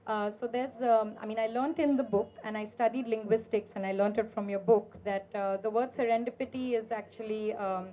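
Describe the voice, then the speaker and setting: A woman's voice: on the high side, steady tone, fairly quick, few pauses, mellow. A woman hosting a book interview, chatty and easygoing, with frequent fillers as she sets up questions. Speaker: interviewer, host.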